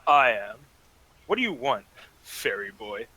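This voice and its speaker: A man's voice: mid-range voice, big pitch swings, unhurried, sharp tone, exaggerated voice, low-quality recording. A man voicing a mocking male werewolf pack leader in an amateur online table read. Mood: taunting. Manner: taunting, swaggering.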